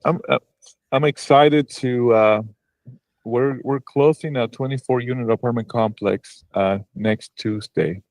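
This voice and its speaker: A man's voice: medium pitch, steady tone, a little slow, a little mellow, dry recording. A man from Argentina, a real estate broker, talking on a livestream video call, hesitant with many restarts and fillers. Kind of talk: casual livestream call-in chat.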